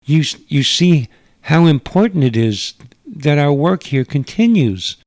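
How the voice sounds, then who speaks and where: A man plays an alien mother in a sci-fi radio play, recorded close and dry. She speaks in formal, careful phrases, earnest and stern, sometimes halting for a word. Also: a little high, sing-song, a little mellow, no room sound, pitched above the performer's own voice. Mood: earnest, pleading. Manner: formal, careful foreigner's wording, halting for words. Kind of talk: radio drama narration with character voices.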